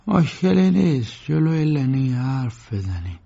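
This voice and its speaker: A man voicing a sick, bedridden old lawyer, courteous and weary, speaking slowly and feebly in an audiobook. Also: mid-range voice, sing-song, gravelly, dark tone, highs cut off. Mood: reassuring. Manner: slow, drawn-out, feeble. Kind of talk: audiobook character voice.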